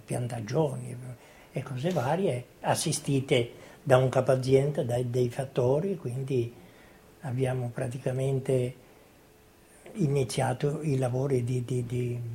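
A man's voice: medium pitch, mellow. An elderly man giving personal testimony, hesitant and searching for words, on a noisy interview recording. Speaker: former colonist giving testimony. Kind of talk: spoken personal recollection.

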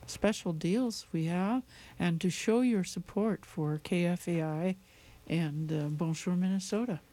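A woman's voice: fairly low pitch, slow pace, mellow. A woman hosting a community radio show, interviewing a guest and making pledge appeals in a casual, encouraging way. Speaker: radio host.

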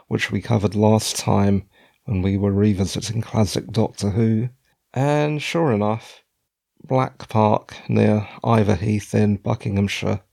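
A middle-aged man hosting a podcast with a hoarse, croaky voice, chatting in a digressive, self-deprecating way. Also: lowish voice, warm tone. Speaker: podcast host. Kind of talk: casual podcast commentary.

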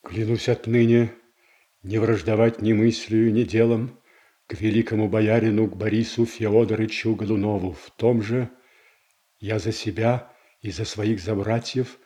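An actor playing a proud, stern old Russian prince in a verse tragedy for audio, declaiming solemnly and with measure. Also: male voice, fairly low pitch, fairly even intonation, unhurried, dark tone, lightly acted.

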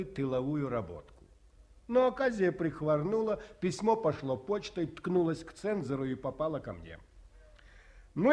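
An actor playing a stern middle-aged army colonel who tells stories with sardonic bite, swinging between hushed and barking. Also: male voice, on the high side. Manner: sardonic storyteller, swings between hush and bark. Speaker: actor in a radio play. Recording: 1950s studio radio recording.

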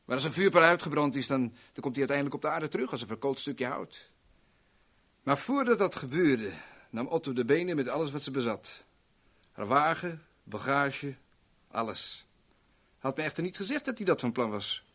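A man's voice: mid-range voice, animated, moderate pace, a bit bright. An actor playing a sadistic, taunting villain in a 1970s radio drama, theatrical and sneering as he savours his words. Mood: mocking. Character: sadistic, taunting male villain gloating as a blackmailer.

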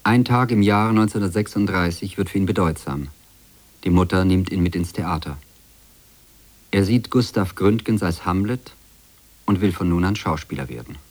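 A man narrates a documentary in a very low, steady voice. His delivery is calm, measured and matter-of-fact. It sounds like scripted radio narration.

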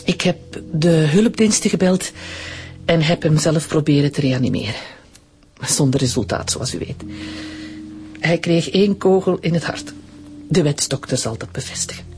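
An actress with a Flemish accent playing a cool, controlled widowed doctor. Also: female voice, low-pitched, animated, moderate pace, darkish tone, soft background music. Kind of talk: radio drama acting.

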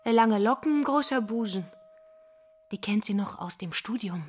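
A woman's voice: medium pitch, lively intonation, unhurried. An actress playing a pupil's mother in a radio drama, seductive and menacing, brazen and wounded, with a provocative, insinuating delivery. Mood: teasing, insinuating. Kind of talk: stage-like radio drama dialogue.

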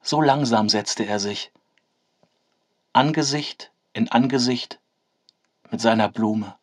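A man's voice: medium pitch, takes it slowly, no echo, clean studio sound. A man playing an earnest, melancholic young writer, reciting literary texts in a radio play. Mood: tender, reflective.

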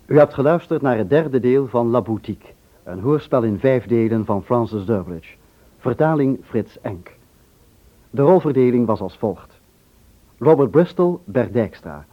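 A man's voice: mid-range voice, animated, a little slow. A man announcing the cast and credits of a radio play in a formal, scripted manner. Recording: slightly muffled old radio recording.

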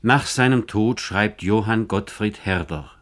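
A man narrates a literary radio feature in a fairly low voice. The delivery is scripted, measured and formal throughout. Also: a bit bright.

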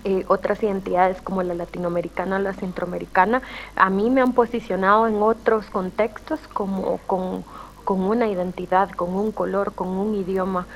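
A woman's voice: medium pitch, level tone, normal speed, lo-fi sound, a little hiss or hum. A woman from Guatemala, a rapper and guest on a radio show, speaking thoughtfully with frequent restarts. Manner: thoughtful, searching, many restarts. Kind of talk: reflective interview talk.

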